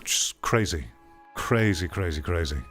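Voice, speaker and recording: Male commentator, low-pitched, blunt and exasperated, casual delivery, music bed underneath. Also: unhurried, fairly bright tone. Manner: blunt, exasperated, repeats phrases for emphasis. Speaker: host giving commentary on game news.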